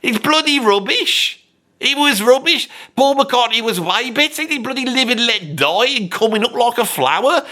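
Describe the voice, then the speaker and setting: A man's voice: very high voice, animated, moderate pace, sharp tone, heavily acted. A man voicing a blunt, opinionated bloke with a Birmingham accent, ranting and swearing in a comic monologue.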